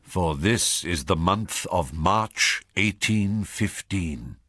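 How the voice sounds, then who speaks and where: An actor playing a measured, wry storyteller, narrating a period radio drama. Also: male voice, sits low, expressive, fairly bright tone, exaggerated voice. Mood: measured, grave. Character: measured, wry male storyteller of Regency society.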